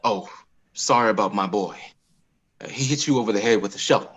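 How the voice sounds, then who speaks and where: A man playing a protective, hospitable father surviving a zombie apocalypse in a table read, with a husky voice. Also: on the low side, a little fast, a bit bright. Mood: calming, apologetic. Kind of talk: dramatic comic-script table read.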